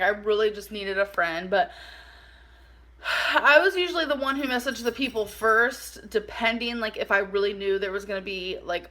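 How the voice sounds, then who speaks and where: A woman chatting casually through a personal story for a vlog, animated and informal, saying "like" a lot and acting out bits of dialogue. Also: medium pitch. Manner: animated, informal, acts out dialogue, heavy use of "like". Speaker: vlogger telling a personal story.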